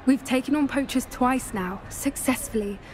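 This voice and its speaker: An actress with a British accent playing a plucky, earnest teenage schoolgirl witch in a video game, candid and gently spirited. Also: high voice, moderate pace, a little hiss or hum, natural voice. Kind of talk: dramatic video game voice acting.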